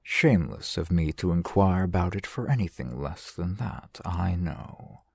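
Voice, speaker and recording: Man playing a deep-voiced, unhurried fantasy shopkeeper. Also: expressive, gravelly, husky, dry recording, full character voice. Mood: persuasive, earnest. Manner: formal, deferential, eloquent merchant patter. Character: courteous, shrewd male fantasy shopkeeper and gem trader. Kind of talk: ASMR fantasy roleplay, softly acted one-sided dialogue.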